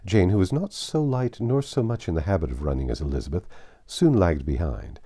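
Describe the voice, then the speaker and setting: A man's voice: sits low, lively intonation, a little fast, warm tone, breathy edge. A man narrating a classic novel from a script, as an audiobook reader.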